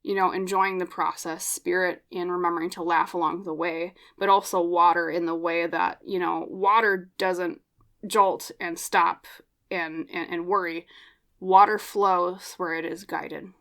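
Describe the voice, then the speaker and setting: A woman with a lowish voice leads a tarot reading for her viewers. Her voice is slightly scratchy, and she speaks gently and reassuringly, often checking in with a soft "okay?". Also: level tone. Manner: gentle, slow, reassuring, with many fillers and tag questions. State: scratchy voice from smoke. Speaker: tarot reader. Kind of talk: pick-a-card tarot reading.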